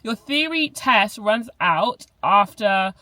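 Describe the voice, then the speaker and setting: A woman's voice: mid-range voice, expressive, slow pace, sharp tone, dry recording. A young woman from Barbados with a Barbadian accent, chatting casually to camera as a vlogger, full of "like" fillers and self-corrections.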